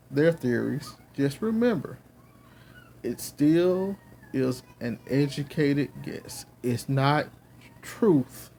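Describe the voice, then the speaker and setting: A man's voice: on the high side, vocal fry, a little mellow, slight background noise. A man with a Southern accent giving a Bible lesson at home, earnest and rambling with many fillers. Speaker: Bible teacher. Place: home with children and babies around.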